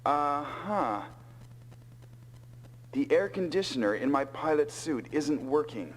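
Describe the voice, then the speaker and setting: A man's voice: fairly high pitch, lively intonation, takes it slowly, a little hiss or hum, rough recording. An actor playing a big, easygoing male soldier pilot in an anime-style English video game dub.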